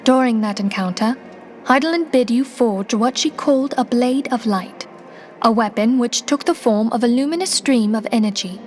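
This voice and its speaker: A woman acting a poised noble leader in a fantasy game. Her fairly high voice is formal and earnest, with careful phrasing and faint music behind it. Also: normal speed, darkish tone, natural voice. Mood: grave. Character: composed, dignified noblewoman leader, gravely earnest.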